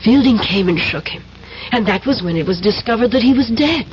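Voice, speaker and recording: A woman's voice: on the high side, lively intonation, brisk, lightly acted. Dull-sounding radio drama femme fatale, acted by a woman. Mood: firm, cool. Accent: American. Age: young adult. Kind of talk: 1970s radio drama acting. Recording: dull old radio recording, faint noise.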